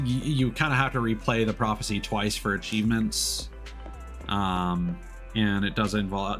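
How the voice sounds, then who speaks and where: A man with a fairly low voice talks casually through a gaming livestream. He thinks aloud in halting phrases, with clear music playing behind him. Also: a bit bright. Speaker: livestreamer, gamer. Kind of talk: casual gaming stream commentary.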